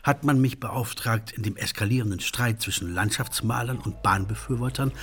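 An actor playing an earnest railway engineer and mediator in a radio play, with a husky voice and courteous, long-winded official phrasing. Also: male voice, fairly low pitch, normal speed, fairly bright tone.

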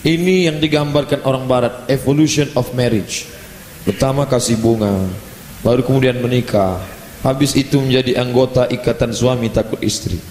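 Animated preacher in an echoing hall. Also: male voice, medium pitch, normal speed, a little hiss or hum. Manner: lively, animated delivery with playful asides. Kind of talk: religious lecture. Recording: roomy hall echo, faint ambience.

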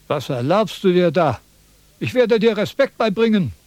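A pompous old-world philosopher in a radio drama, high in pitch and swinging widely as he declaims. He is quarrelsome and loves to lecture, and the sound is dry and close. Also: sing-song, normal speed, a little mellow, dry recording. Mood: indignant. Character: pompous, quarrelsome male Chinese philosopher in a threadbare coat. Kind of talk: German radio play acting.

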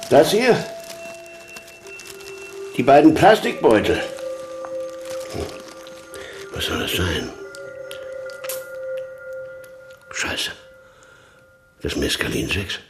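An older male actor playing a gruff, strict yet paternal police commissioner in a radio crime drama, speaking with weighty emphasis. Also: medium pitch, wildly swooping pitch, slow, pauses often, faint music behind, low-quality recording. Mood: gruff, startled.

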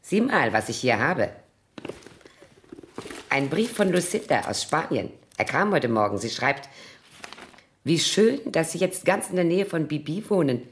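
Very low-voiced, swooping, sly older woman. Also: sing-song, measured pace, long pauses, slight background noise. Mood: sly, threatening. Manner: theatrical, needling, wary. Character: boozy, nervous older woman, sly blackmailing accomplice. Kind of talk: 1970s German radio crime drama acting.

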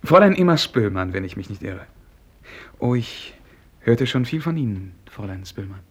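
A man acting an affable, awkward royal prince in a German radio play, courteous and halting, repeating himself, with a husky voice. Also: fairly low pitch, sing-song. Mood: polite, slightly flustered.

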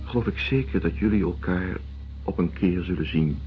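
A man's voice: sits low, normal speed, close to an everyday voice. An actor playing an earnest, consoling man with a solemn, philosophical and reassuring manner in a radio drama. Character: earnest, consoling man of quiet hope and conviction. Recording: dull radio recording with music underneath.

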